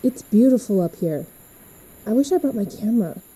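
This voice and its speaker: Casting: easygoing teen girl, reassuring and casual, very soft dark tone with some husk, podcast drama. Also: medium pitch, expressive, moderate pace, slightly rough, rough recording. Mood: enthusiastic. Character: easygoing, reassuring teenage girl, new in town. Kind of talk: fiction podcast acting.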